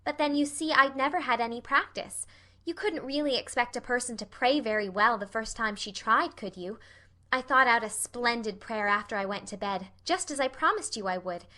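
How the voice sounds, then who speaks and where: A woman's voice: fairly high pitch, moderate pace, bright, forceful tone. A woman voicing an earnest, dreamy young orphan girl in an audiobook, effusive and imploring. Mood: apologetic, chatty. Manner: effusive, wordy, dramatic. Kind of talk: audiobook character voice.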